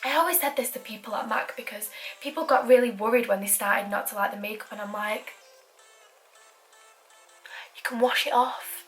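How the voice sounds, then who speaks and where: A woman's voice: a little high, moderate pace, long pauses, soft background music. A young woman with a Manchester accent, chatting through a makeup tutorial with self-deprecating asides. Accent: Mancunian. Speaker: beauty vlogger.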